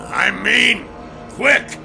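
A man's voice: high-pitched, drawn-out words, vocal fry, bright, forceful tone, soft background music, voice pushed up, heavily acted. A man voicing a hot-headed, hard-boiled dwarf warrior in a storybook, blunt and combative, growling and bellowing. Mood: impatient.

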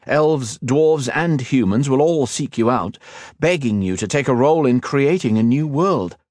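A formal, thoughtful elf noble, voiced by a man reading an audiobook. The pitch sits raised and moves lively, with a measured, courtly manner. Dry, close recording. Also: no room sound, close to an everyday voice. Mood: earnest.

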